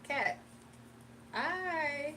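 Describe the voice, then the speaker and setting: A young woman with a fairly high voice chats loosely with her live viewers and laughs easily. She sits a little away from the mic, and faint room noise runs under her voice. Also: animated, drawn-out words, bright, forceful tone, recorded from a distance. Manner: chatty, laughs often, reads chat aloud. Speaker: livestream tarot reader. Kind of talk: casual live chat and tarot reading.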